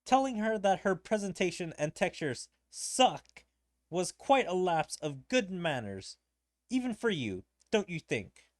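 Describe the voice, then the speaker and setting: A man's voice: high voice, big pitch swings, measured pace, no echo, studio quality. A man voicing a prim young woman who scolds her older brother, reading game dialogue aloud in a stern tone. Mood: scolding.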